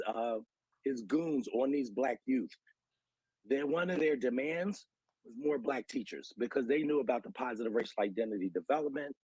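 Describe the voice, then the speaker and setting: A man's voice: medium pitch, darkish tone, slightly rough, no echo, rough recording. An American man giving earnest, articulate explanations as an interview guest, citing research and figures like an advocate.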